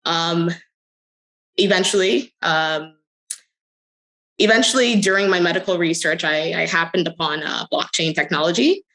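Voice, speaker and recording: A middle-aged woman answering interview questions conversationally, telling her story in short phrases with hesitant pauses. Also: on the low side, fairly bright tone. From the Bahamas. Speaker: interview guest, crypto company executive.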